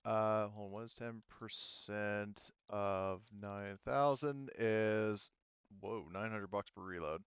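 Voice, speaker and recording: A man acting as game master in a casual online tabletop session, explaining rules haltingly as he goes. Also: lowish voice, clear, resonant tone, no room sound, highs cut off.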